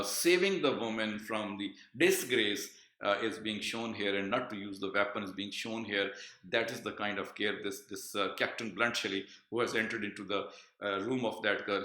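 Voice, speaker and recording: A male literature professor lectures on a stage play, his voice lowish and bright. He explains at length with frequent fillers and restarts. The recording carries a roomy echo. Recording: echoey room.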